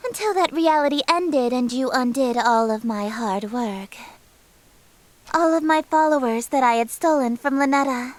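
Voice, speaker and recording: A woman's voice: high-pitched, no room sound. A woman playing a regal eldritch queen of chaos in a game, speaking formally and imperiously with menacing sweetness and theatrical condescension. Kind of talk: visual novel game character acting.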